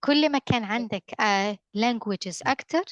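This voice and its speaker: A young Moroccan woman whose first language is Arabic speaks on a video call, her voice smooth and resonant. She talks fast in bursts, stopping often on fillers and restarts. The sound is dry and close. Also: on the high side, dry recording, highs cut off. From northern Morocco. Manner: hesitant, many fillers and restarts, friendly advice tone. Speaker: guest speaker from a mapping company. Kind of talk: webinar answer, mixing languages mid-sentence.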